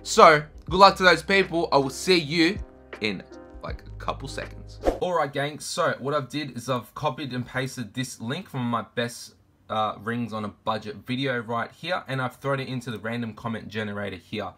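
A man of twenty-two, vlogging casually to camera in his bedroom, laid-back and slangy, his voice deep from just waking up. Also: moderate pace, bright, forceful tone, faint music behind. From Argentina, raised in Australia. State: deep morning voice, just woke up. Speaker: fashion YouTuber. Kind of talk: casual vlog Q&A. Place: bedroom with shoe shelves, indoors.

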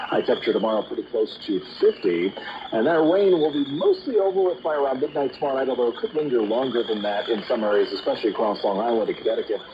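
A man reading a scripted weather report on the radio as an announcer. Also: mid-range voice. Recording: dull, noisy AM radio reception.

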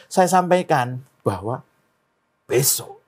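A man's voice: on the high side, sing-song, unhurried, husky, full character voice. A man playing a boastful, mock-solemn middle-aged Javanese fortune-teller in improvised comedy banter, with a Javanese accent and a habit of repeating himself. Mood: mock-solemn prophecy. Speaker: guest fortune-teller on a comedy talk show.

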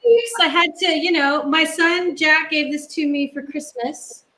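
A chatty middle-aged woman talks through a cooking demo from her home kitchen, rambling along with plenty of ums. Her voice sits fairly high. It comes over a webcam on a video call and bounces around an echoey room. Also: measured pace, bright, forceful tone. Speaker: guest cook. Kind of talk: casual cooking demo chat. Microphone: laptop webcam on a video call.

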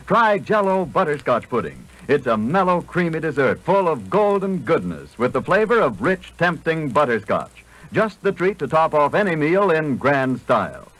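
A man's voice: on the high side, sing-song, moderate pace, a bit bright, poor audio. A man reading advertising copy as a polished, enthusiastic 1940s radio announcer. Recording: vintage 1940s radio broadcast.

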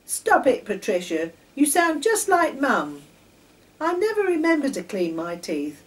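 A woman's voice: high voice, wildly swooping pitch, a little slow, voice pushed up, full character voice. A woman voicing a defensive, self-important young boy in a children's story, protesting emphatically. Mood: defensive annoyance. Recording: distant mic, noticeable background noise.

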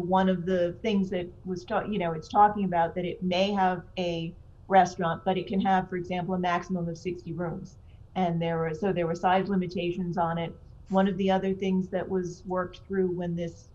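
A woman's voice: on the low side, a little mellow. Woman explaining zoning on a muffled call. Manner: measured, explanatory. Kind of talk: explaining procedure at a public meeting. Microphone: video call. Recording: dull lo-fi video call audio.

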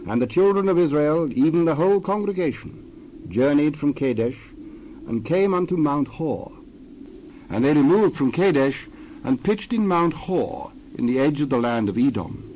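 A man narrating a dramatized scripture reading in a measured, reverent way. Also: fairly high pitch, expressive, mellow, slight background noise, low-quality recording.

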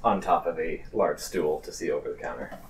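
Low-voiced male game master, off mic. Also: a little fast, vocal fry. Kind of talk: narrating a tabletop role-playing game. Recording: distant mic with faint noise.

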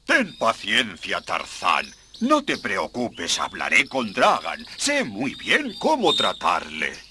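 A man voicing a big, wise, calm elephant elder in a cartoon, measured and admonishing. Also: lowish voice, a little hiss or hum, heavily acted. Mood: reassuring.

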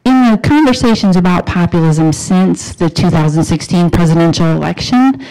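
A middle-aged woman from Wisconsin with a Wisconsin accent, giving an academic talk on a handheld mic in a lecture room, earnest and emphatic, pausing to rephrase. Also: fairly low pitch, mellow, poor audio. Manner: earnest, emphatic rising questions, pauses to rephrase. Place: lecture room in front of a projection screen. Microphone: handheld microphone.

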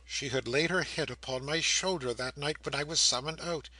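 A broken old man, long imprisoned as a male narrator plays him, speaks in a raised, strained pitch with a husky edge. He is bewildered and anguished, halting and repeating himself as in a classic novel read aloud. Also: sharp tone, dry recording. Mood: wistful remembering. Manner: halting, repetitive, exclamatory questioning.

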